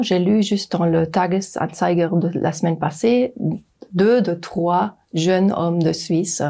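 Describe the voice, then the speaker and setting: Calm woman asking interview questions. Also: fairly low pitch.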